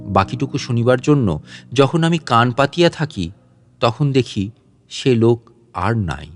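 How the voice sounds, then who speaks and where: Guy reading an old story real slow and solemn, soft guitar behind him. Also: mid-range voice. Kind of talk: slow literary narration of a classic story. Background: soft guitar music.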